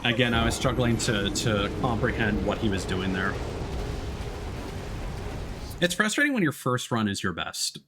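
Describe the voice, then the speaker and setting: A man's voice: expressive, a little slow, pauses often. A man giving casual live commentary as he plays a game, thinking aloud in a self-critical way. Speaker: streamer. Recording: loud rumbling game noise behind. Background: video game sound effects.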